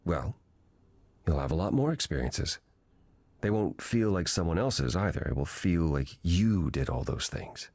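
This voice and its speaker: A weary, ancient god in a video game, guiding a newcomer with gentle patience. The voice is low and a little husky, recorded dry and close, with a measured, thoughtful delivery. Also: male voice, big pitch swings, no echo, exaggerated voice. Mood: patient, reassuring. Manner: measured, reflective, explanatory.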